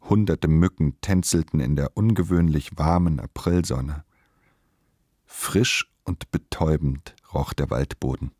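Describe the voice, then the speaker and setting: A man narrates a literary radio play in a measured, solemn and deliberate manner. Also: a bass voice, darkish tone, no echo, studio quality.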